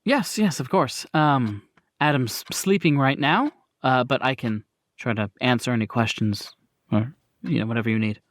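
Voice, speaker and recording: A man's voice: medium pitch, normal speed, dry recording, studio quality, voice pushed down, close to an everyday voice. A man voicing a courteous, hesitant woman welcoming visitors in a tabletop game. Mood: polite, hesitant. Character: polite, welcoming woman householder, a bit hesitant.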